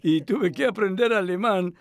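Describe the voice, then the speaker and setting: A man's voice: high voice, normal speed. A male actor dubbing a cultured older scholar who speaks reflectively and hesitantly in a conversational interview. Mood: reminiscing. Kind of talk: voice-over dub of a conversational interview.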